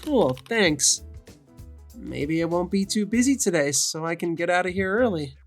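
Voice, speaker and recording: Woman as a low-voiced, sardonic young male witch. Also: lively intonation, normal speed, faint music behind. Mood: dry. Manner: dry, grumbling, sardonic. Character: weary young male witch, sardonic, reluctant, easily irritated. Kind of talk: audio fantasy drama.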